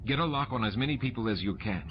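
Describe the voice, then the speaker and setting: A man's voice: medium pitch, animated, fast, background noise, natural voice. A man voicing a commanding male space station commander who gives firm orders in an audiobook. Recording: muffled audio under loud music.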